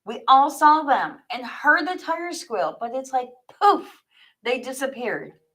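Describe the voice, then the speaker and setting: A woman's voice: high-pitched, expressive, slow pace, a bit bright, pitched above the performer's own voice, exaggerated voice. A woman reading aloud the part of an earnest, rattled male security guard in a mystery novel, with emphatic, questioning delivery. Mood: baffled, agitated.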